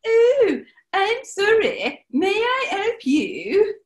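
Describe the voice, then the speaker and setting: A woman's voice: extremely high pitch, wildly swooping pitch, very slow, heavily acted. A woman playing a brash, pushy New York woman announcer in a 1940s-style comedy radio play. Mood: mocking, brash.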